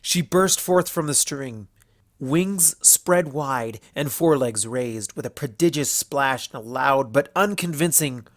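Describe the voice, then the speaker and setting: A man reading scripted fan fiction as narrator, telling the story in an expressive way. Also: medium pitch, a little slow, no room sound, clean studio sound.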